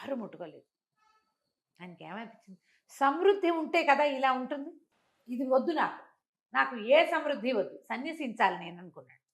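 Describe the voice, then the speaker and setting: Animated woman storyteller. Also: sits high, moderate pace, long pauses. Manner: conversational, acts out dialogue, asks rhetorical questions. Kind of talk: animated oral telling of a moral folk tale.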